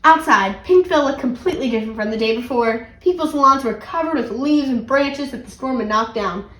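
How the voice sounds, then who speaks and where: A woman reading a children's story aloud in an animated, expressive way. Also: sits high, a little fast, roomy sound. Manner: animated, acts out character dialogue. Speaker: read-aloud channel host.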